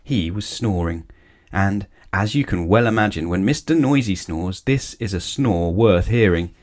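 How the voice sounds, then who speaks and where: A man narrating a children's storybook aloud in an expressive read-aloud style. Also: low-pitched, unhurried.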